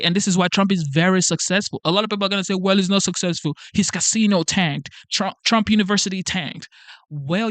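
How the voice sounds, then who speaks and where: A man's voice: on the high side, dry recording. A man hosting a motivational livestream, talking to camera with emphatic, repetitive urging. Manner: emphatic, repetitive, preachy pep talk. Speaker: channel host. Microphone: facing a camera.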